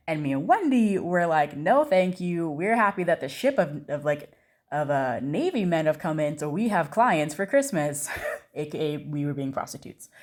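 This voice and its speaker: A young adult American woman telling a personal story in a casual, chatty way. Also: on the low side. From United States. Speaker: storyteller, video creator.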